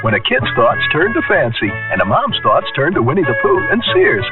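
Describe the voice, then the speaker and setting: A man's voice: mid-range voice, big pitch swings, a little fast. A man working as a commercial announcer, reading a vintage 1970s radio advertisement for a retailer. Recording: narrow-band old radio ad, loud music.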